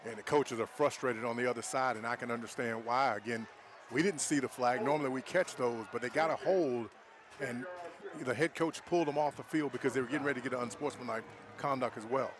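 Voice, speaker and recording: A man's voice: medium pitch, brisk, a crowd in the background. A man giving conversational live analysis of a football game as the coach in the booth, explaining plays in plain-spoken terms. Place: outdoor high school football stadium. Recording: faint outdoor stadium ambience noise. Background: faint outdoor ambience.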